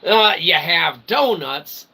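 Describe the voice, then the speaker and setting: A man's voice: on the high side, expressive, slow pace, pushed and bright. A man hosting a comedy show with a theatrical showman's delivery, rambling in wordy, mock-formal phrases and repeated catchphrases. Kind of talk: rambling comic monologue to an audience. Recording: clearly noisy recording.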